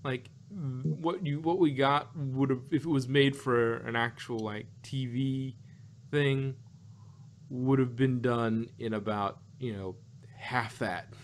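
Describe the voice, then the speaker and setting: A man's voice: medium pitch, fairly even intonation, slow, a little hiss or hum. Laughing, rambling male podcast co-host. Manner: rambling, laughs often, restarts and hedges mid-thought. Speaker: podcast co-host reviewing an audio drama. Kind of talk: casual review chat.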